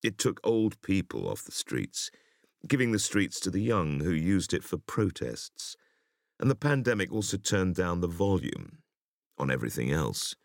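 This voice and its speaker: A man with an extremely low voice reads scripted interview answers for an audiobook. His delivery is measured and emphatic, with lively rises and falls and a gravelly creak. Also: no echo, studio quality. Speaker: audiobook reader.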